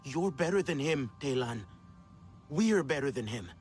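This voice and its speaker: A man's voice: medium pitch, animated, unhurried, lightly acted. A man playing an earnest young alien resistance fighter in a video game cutscene. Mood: urging, resolute.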